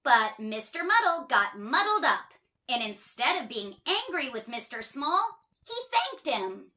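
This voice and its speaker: A woman reading a children's story aloud in an expressive way, drawing out words for emphasis. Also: high-pitched, unhurried.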